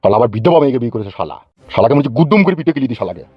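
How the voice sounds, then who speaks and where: An actor voicing a bullying, foul-mouthed cartoon politician in rural West Bengal Bengali, hectoring and boastful. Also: male voice, medium pitch, sing-song, racing, dry recording, lo-fi sound. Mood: angry, threatening. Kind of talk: comic cartoon dubbing.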